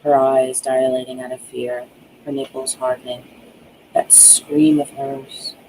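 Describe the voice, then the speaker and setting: A narrator reads a dark thriller in a dramatic audiobook style. The voice is mellow and full of vocal fry, leaning on key words with hushed, drawn-out emphasis. Some background noise sits under the homemade recording. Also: medium pitch, little pitch movement, low-quality recording. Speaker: author reading own book aloud.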